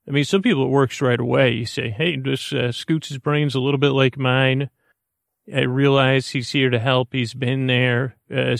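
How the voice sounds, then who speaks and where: A man with a creaky voice hosting a bedtime podcast, rambling haltingly and repeating himself in a lulling, soothing way. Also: medium pitch, no room sound, studio quality. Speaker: podcast host.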